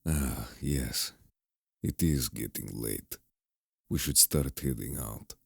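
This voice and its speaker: A gruff, deadpan merman, voiced by a man, rumbles extremely deep and slow. The voice is gravelly and husky, with calm, dry humor in audio-drama style. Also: no room sound, full character voice.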